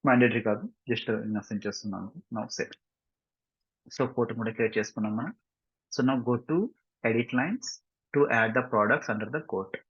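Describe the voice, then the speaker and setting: A man with a Telugu Indian accent giving a step-by-step software tutorial, mixing in English and often checking understanding with short tag questions. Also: fairly low pitch, unhurried, husky. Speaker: software instructor. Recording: muffled lo-fi mic, roomy echo.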